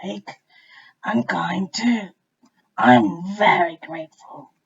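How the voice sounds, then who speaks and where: Big snappy crocodile for a picture book , played by a woman: booming, drawn-out lines, rough-edged tone, dull lo-fi sound. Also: big pitch swings, heavily acted. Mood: grateful, emphatic. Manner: loud, drawn-out, emphatic delivery. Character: big selfish snappy male crocodile, later grateful.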